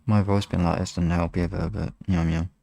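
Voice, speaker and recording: A man chatting casually and softly with his listeners as an ASMR streamer, relaxed and unhurried. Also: low voice, gravelly, no echo. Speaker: ASMR hypnosis live streamer.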